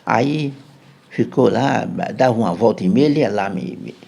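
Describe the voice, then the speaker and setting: A man's voice: mid-range voice, moderate pace, vocal fry, mellow. A man with a Brazilian Portuguese accent, a podcast guest telling a long, rambling story and often checking in with his listener. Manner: chatty, keeps checking in with "né?".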